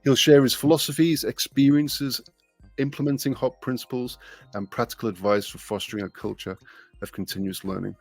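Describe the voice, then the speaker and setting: A man, hosting a casual podcast interview in a relaxed, friendly way, repeating phrases and filling pauses. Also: mid-range voice, no echo, faint music behind. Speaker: podcast host.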